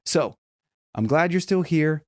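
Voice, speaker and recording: Lively chatty male singing coach. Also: a little high, a little slow, no echo, studio quality. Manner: chatty and emphatic, with vocal demos. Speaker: singing teacher. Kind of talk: casual video lesson.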